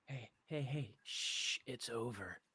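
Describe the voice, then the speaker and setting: A man playing a battered young man who survives a horror film killer, taunting in a hushed way at a table read. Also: mid-range voice, slow pace, slightly rough, heavily acted. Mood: eerily calm. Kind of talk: amateur screenplay table read.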